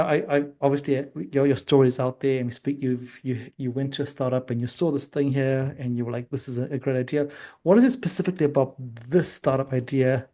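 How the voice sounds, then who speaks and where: Quick-talking, mellow-voiced male podcast host. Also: mid-range voice. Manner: informal, affirming. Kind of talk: casual conversational interviewing.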